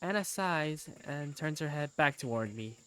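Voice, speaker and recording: A woman's voice: sits low, animated, moderate pace, fairly bright tone, clean tone. A woman reading scripted first-person story narration for a fan-dubbed game.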